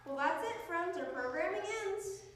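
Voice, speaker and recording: A woman's voice: very high voice, animated, normal speed, fairly bright tone. A woman delivering scripted rhyming promo lines for an amateur radio show. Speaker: radio show jingle announcer. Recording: echoey hall, distant mic, faint music.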